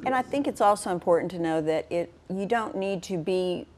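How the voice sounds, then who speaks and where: A woman's voice: lowish voice, lively intonation, a little fast, darkish tone. A woman in her sixties speaking informatively as a guest in a studio interview. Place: TV studio, seated indoors.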